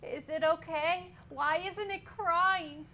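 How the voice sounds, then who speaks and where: A woman's voice: very high-pitched, steady tone, slow, sharp tone, exaggerated voice. A woman playing a frantic young mother in childbirth, exclaiming in a staged play reading. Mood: panicked.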